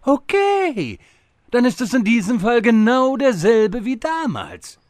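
An actor playing a bumbling, impatient time-travelling wizard who stammers and rambles in a comedic audio drama. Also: male voice, extremely high pitch, wildly swooping pitch, takes it slowly, no echo, studio quality, full character voice. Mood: exasperated.

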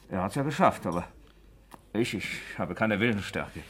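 A man's voice: fairly low pitch, lively intonation, a little slow, a bit bright. An actor playing an obliging, slightly hesitant man who repeats his words, in a 1960s German radio crime drama. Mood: affable, hesitant. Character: obliging, slightly hesitant male café regular, a smoker.

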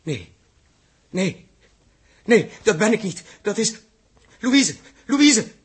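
Uneasy space traveller, raspy and unhurried, halting self-repeating speech, vintage radio play. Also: male voice, high voice, sing-song, heavily acted. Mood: horrified. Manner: halting, muttering, repeats himself. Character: troubled, hesitant grown man Joost, uneasy space traveller. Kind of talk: 1960s radio drama acting. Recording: muffled-voiced old 1960s radio recording.

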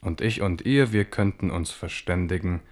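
Deep-voiced, wry, embattled king, played by a man. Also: normal speed, natural voice. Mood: wary, ironic. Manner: pointed, ironic questioning. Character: embattled king of France, wry, proud, sceptical. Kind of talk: German radio play acting.

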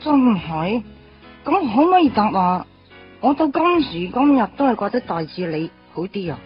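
A woman's voice: medium pitch, sing-song, normal speed, lo-fi sound, soft background music, close to an everyday voice. An actress with a Hong Kong Cantonese accent playing a playful, candid young woman in a radio drama, casual and teasing. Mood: coy, teasing.

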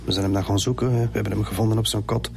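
A man's voice: on the low side, steady tone, racing, gravelly. An actor playing a weary, defensive middle-aged Flemish father in a radio drama. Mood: grim, sorrowful. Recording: music under the dialogue.

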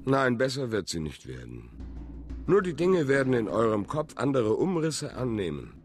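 A man's voice: medium pitch, wildly swooping pitch, moderate pace, soft background music. An actor playing an ominous, talkative village workman who delivers rhetorical warnings in a radio drama. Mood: ominous, insistent. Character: one-handed village workman, prophetic, ominous, talkative orator.

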